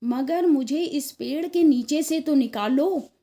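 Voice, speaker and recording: A woman's voice: sits high, dark tone, pitched above the performer's own voice. Woman playing a pleading, suffering male poet. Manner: halting, groaning plea.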